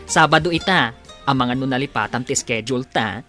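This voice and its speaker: A man's voice: mid-range voice, brisk, music in the background, natural voice. An actor playing a carefree buddy who coaxes his friend out, in a radio drama. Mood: coaxing, carefree.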